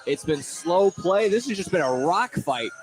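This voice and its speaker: A man's voice: high voice, expressive, a little slow, music in the background. An American man giving informal live basketball analysis as a color commentator, often repeating his words and phrases. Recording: clearly noisy gym ambience.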